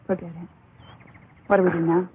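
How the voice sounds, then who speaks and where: An actress playing a steady, companionable young traveller in a dubbed vintage horror film, lively and teasing, with a husky voice. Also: female voice, fairly low pitch, fairly quick. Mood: wry. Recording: muffled tinny old film soundtrack.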